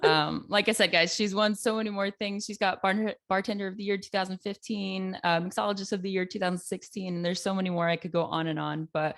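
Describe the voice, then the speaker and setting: A woman's voice: medium pitch, steady tone, brisk, hardly any pauses, fairly bright tone. A woman hosting a casual interview podcast, chatty and upbeat, laughing often. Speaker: podcast host.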